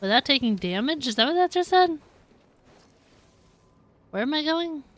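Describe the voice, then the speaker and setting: A woman's voice: high-pitched, big pitch swings, moderate pace, fairly bright tone, dry recording. A woman streaming a video game with casual, giggly, self-deprecating commentary. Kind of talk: casual live gameplay commentary.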